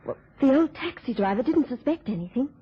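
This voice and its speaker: A woman's voice: sits high, sing-song, a little fast, husky, full character voice. An actress in a radio play as an anxious woman, an uneasy accomplice confiding in hesitant phrases. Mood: shaken, anxious. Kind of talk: radio drama acting. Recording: dull, lo-fi old radio recording.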